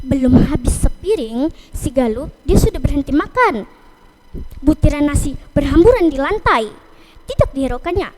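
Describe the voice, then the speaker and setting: A woman telling a children's folktale in a deliberate, phrase-by-phrase way. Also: high voice, lively intonation, darkish tone, rough recording. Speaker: storyteller. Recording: noticeable background noise.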